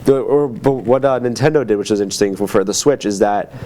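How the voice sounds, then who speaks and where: A man co-hosting in casual chat, opinionated and teasing, often halting as he works out a thought. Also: fairly low pitch, dark tone, slight background noise. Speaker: podcast co-host. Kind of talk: casual chat about games and movies.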